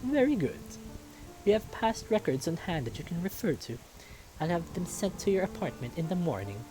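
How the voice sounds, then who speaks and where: A man voicing a kindly, refined king in a visual novel, speaking in a gracious, formal, measured way. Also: on the high side, very animated, over music, voice pushed up, low-quality recording. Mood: gracious, pleased. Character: kindly, refined wise king of a fantasy realm.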